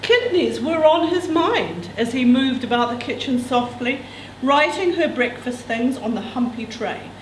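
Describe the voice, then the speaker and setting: Female reader, expressive literary delivery with informal asides, higher register, roomy distant sound. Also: a little slow. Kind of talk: reading a novel extract aloud with casual asides. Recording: echoey room, distant mic, background noise.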